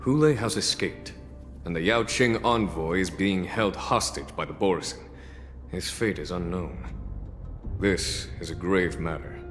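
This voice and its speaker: An actor playing a composed, dutiful army general in a fantasy video game, speaking formally and with command. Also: male voice, sits low, unhurried, fairly bright tone. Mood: grave. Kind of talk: dramatic video game voice acting. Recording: long echoing hall reverb, music behind.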